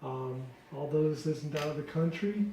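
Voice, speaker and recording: A middle-aged American man from Detroit giving earnest, deliberate explanations in an informal interview. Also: a little high, warm tone. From Detroit, United States. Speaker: interviewee, ministry founder. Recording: roomy echo with clear background noise.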